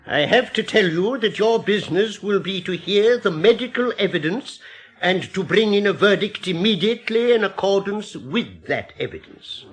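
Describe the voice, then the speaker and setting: Man playing a stern officious coroner in a radio play, high pitched voice, formal, wont budge. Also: expressive, moderate pace, fairly bright tone, a little hiss or hum. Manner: formal, authoritative, unyielding. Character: stern, officious male coroner addressing a jury. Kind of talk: audio drama acting.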